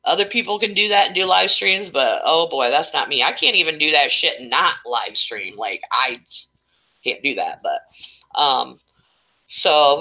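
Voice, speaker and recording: A woman's voice: on the low side, creaky, bright, forceful tone. A woman hosting a casual live stream, chatty and rambling, stuffed up and losing her voice. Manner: rambling, chatty, reading chat names aloud.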